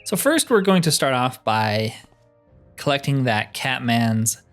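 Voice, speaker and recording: A man's voice: mid-range voice, very animated, measured pace. A man hosting a game playthrough with casual, informal commentary, thinking aloud as he plays. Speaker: host of a game roleplay series. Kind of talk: casual let's play commentary. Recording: music behind the voice.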